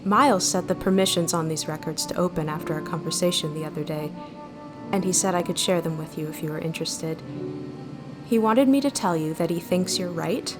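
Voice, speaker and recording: An actress playing a thoughtful, gentle starship mind in an audio drama, with measured, wistful, formal phrasing. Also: female voice, on the low side, close to an everyday voice. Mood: calm, explaining. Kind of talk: audio drama narration and dialogue. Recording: music behind the voice.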